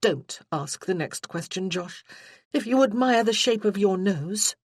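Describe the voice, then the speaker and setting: A woman's voice: medium pitch, expressive, unhurried, no room sound, clean studio sound, pitched above the performer's own voice. A reader voicing a proud, fiery young betrothed lady from a Regency romance, haughty and fierce in her speech. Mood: intense, haughty.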